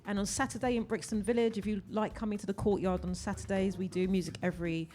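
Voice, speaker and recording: A middle-aged woman talks as a guest DJ on radio. Her voice sits at an average pitch, and she chats in an informal, jokey way. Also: steady tone, quick pace, mellow. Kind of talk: casual chat. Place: radio studio.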